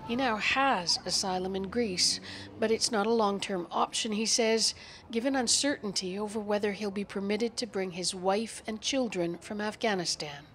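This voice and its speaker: Woman reporter voicing a serious news piece, calm measured delivery, faint room hum. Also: mid-range voice. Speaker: foreign correspondent. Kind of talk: scripted news narration.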